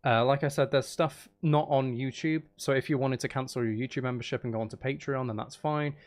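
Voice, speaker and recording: A man's voice: mid-range voice, steady tone, fairly quick. A man hosting a live game stream, chatting casually and playfully to his viewers and to himself. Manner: playful, talks to chat and to himself. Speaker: streamer and channel host.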